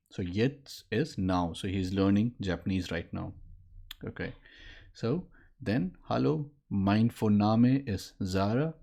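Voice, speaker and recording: A man in his late twenties, a native Maithili speaker, teaching a casual online lesson at a desk, explaining and pausing with fillers. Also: lowish voice, warm tone. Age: late 20s. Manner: explains in mixed speech, halting with fillers. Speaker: language teacher. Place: indoor room at a desk.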